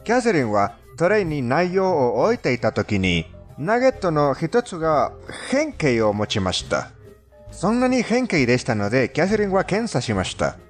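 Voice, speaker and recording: A man's voice: fairly high pitch, wildly swooping pitch, moderate pace, faint music behind. A man with a non-native accent delivers scripted countdown narration in a formal, somewhat stilted storytelling manner. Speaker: narrator of a fandubbed countdown video.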